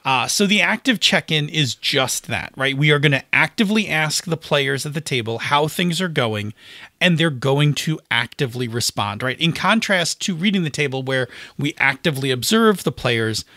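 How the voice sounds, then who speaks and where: A man's voice: fairly high pitch, lively intonation, moderate pace, bright, forceful tone, no echo, studio quality. A man co-hosting a podcast, explaining things in casual chat and emphatically tagging his points with little check-in questions. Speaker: podcast co-host. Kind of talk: casual explanatory chat about tabletop gaming.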